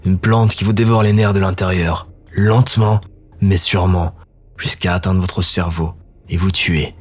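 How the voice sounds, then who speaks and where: A man voicing a ruthless male dark elf warlord who speaks in a cold, measured way. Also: low-pitched, fairly even intonation, slightly rough. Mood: menacing.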